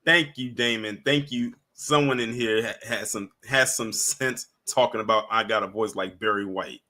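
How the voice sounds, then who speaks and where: Bright-voiced laid-back male streamer. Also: fairly low pitch, moderate pace. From US East Coast. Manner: laid-back, chuckles often, trails off and restarts. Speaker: livestream host. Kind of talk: casual livestream chat reading viewer comments.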